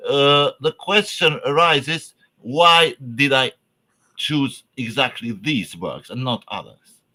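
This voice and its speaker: A man's voice: mid-range voice, takes it slowly, bright, forceful tone. A man whose first language is Albanian gives an academic lecture in English, hesitant and searching for words, with frequent fillers and restarts.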